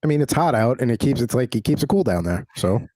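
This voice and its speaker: Fast-talking man in his forties, dry sound. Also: mid-range voice, lively intonation, dark tone, no room sound. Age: 40s. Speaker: show producer and sidekick. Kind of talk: casual joking banter. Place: at home.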